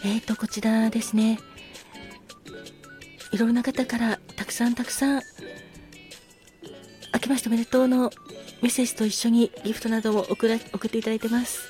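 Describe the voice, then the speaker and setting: A woman hosting a casual solo talk show, speaking politely with effusive gratitude and soft apologies. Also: fairly high pitch, steady tone, brisk, long pauses, soft background music. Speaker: podcast host.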